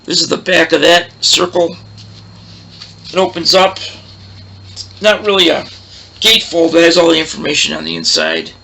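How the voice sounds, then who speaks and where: A man with a big, loud, roaring voice hosting a casual record collection show, chatting in a rambling way and losing his train of thought. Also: fairly high pitch, expressive, moderate pace, gravelly, long pauses. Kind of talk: casual show-and-tell chat.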